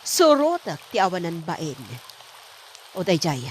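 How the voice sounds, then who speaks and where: A woman's voice: on the low side, very animated, measured pace, a little hiss or hum. A woman playing a suspicious, scolding middle-aged wife in an Ilocano comedy drama, with an Ilocano accent.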